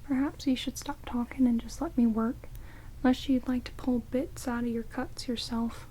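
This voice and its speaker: A woman playing a rude, sarcastic female vampire in a whispered ASMR roleplay, soft and casual, speaking into close binaural mics. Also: fairly high pitch, fairly even intonation, normal speed, close to an everyday voice, rough recording. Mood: grudging, brisk. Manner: soft-spoken whispering, dry, snarky, casual. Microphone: close binaural microphones. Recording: hissy rain noise on binaural mics.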